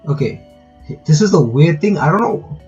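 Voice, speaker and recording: A man giving casual playthrough commentary and reading game text aloud, halting and often repeating his words. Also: fairly high pitch, expressive, a little mellow, highs cut off, faint music behind. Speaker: gaming reaction host.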